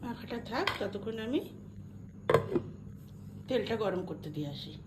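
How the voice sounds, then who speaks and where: A woman's voice: mid-range voice, lively intonation, measured pace, heavy background noise. A middle-aged woman with an Indian Bengali accent talks casually and informally, as in a homely cooking vlog, dropping in English and Hindi words. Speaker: home cooking vlogger. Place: indoors at home.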